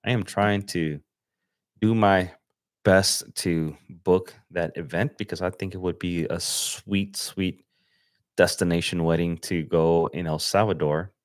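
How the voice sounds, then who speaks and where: A young man from Los Angeles chatting casually on a livestream as he edits photos, relaxed and slangy, thinking aloud with fillers. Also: low-pitched, measured pace, dry recording, studio quality. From Los Angeles, USA. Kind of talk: casual livestream chat while editing. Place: home desk setup.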